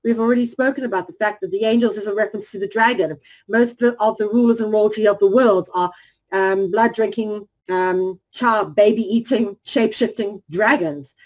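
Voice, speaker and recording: A woman giving a lecture-style presentation, talking in a measured way with frequent ums and restarts, drawing out key words slowly. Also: medium pitch, poor audio.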